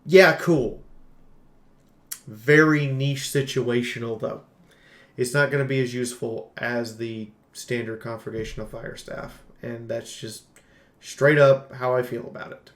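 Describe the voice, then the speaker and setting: Male game reviewer, mid-pitched, rambling and casual with animated slangy asides, recorded in an echoey room. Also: unhurried. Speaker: video game reviewer and streamer. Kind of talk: casual unscripted game commentary.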